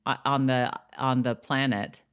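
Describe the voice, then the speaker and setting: A woman's voice: a bass voice, steady tone, measured pace, clear, resonant tone. A woman co-hosting a podcast, talking informally and sharing episode notes. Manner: informal, conversational. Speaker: podcast co-host. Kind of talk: casual chat and reading episode notes.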